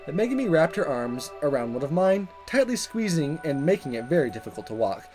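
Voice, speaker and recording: A man's voice: on the high side, sing-song, fairly quick, darkish tone, soft background music. A man hosting a gaming video, chatting casually and playfully as he reads a visual novel aloud. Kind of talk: casual let's play commentary and read-aloud narration.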